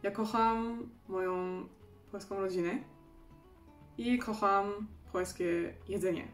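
A woman's voice: medium pitch, steady tone, slow pace, roomy sound, soft background music. A woman in her twenties from Germany with a German accent, chatting casually to camera as a vlogger, self-deprecating and laughing often. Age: 20s. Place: small bedroom indoors.

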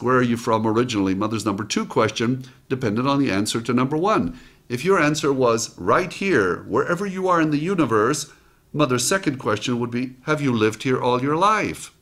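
An older man from Israel, hosting a motivational talk straight to camera in a small studio and telling stories with lively acted-out quotes. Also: mid-range voice. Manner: lively storytelling, acts out quoted lines. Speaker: host and motivational speaker. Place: small home studio set, indoors.

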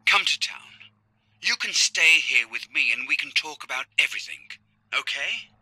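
Worried priest in a game, pretty high voice, super forceful, urgent, dry sound. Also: male voice, expressive, normal speed, dry recording, heavily acted, low-quality recording. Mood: urgent, concerned. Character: concerned, urgent Italian village priest. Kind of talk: dramatic game dialogue.

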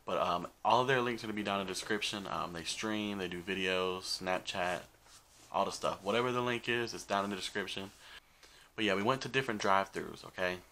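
A man's voice: sits low, a little fast, pushed and bright, slight background noise. A man, chatting casually to camera as a vlog host in a bedroom. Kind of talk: casual chat to camera introducing a video. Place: small bedroom, indoors.

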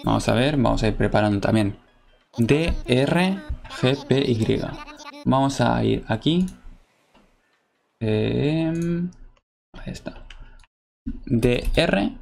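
A man's voice: mid-range voice, lively intonation, takes it slowly, vocal fry, pauses often, darkish tone. A young man with a Spain Spanish accent, chatting casually to viewers over gameplay, full of fillers. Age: young adult. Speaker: gaming video creator.

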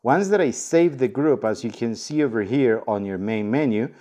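A man's voice: mid-range voice, lively intonation, normal speed, mellow. A man hosting a casual instructional walkthrough, explaining each step informally. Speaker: product tutorial host.

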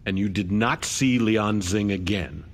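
An actor playing a skeptical official at a hearing, questioning in a formal, pointed way, in a video game. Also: male voice, fairly low pitch, unhurried. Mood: skeptical, probing. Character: skeptical, formal male official interrogating witnesses. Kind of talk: scripted game cutscene acting.

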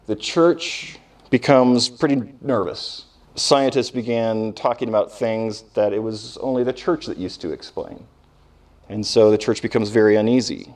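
Low-voiced male lecturer, recorded from afar. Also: darkish tone. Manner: conversational, emphatic, with rhetorical questions and pauses. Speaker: history professor lecturing. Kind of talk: informal classroom lecture. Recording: distant microphone in live lecture.

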